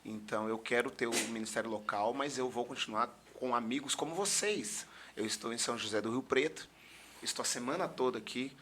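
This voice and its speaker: A man's voice: mid-range voice, fairly quick, sharp tone, slight background noise. A middle-aged man with a São Paulo Brazilian accent chatting casually as a pastor guest on a podcast. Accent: São Paulo Brazilian Portuguese.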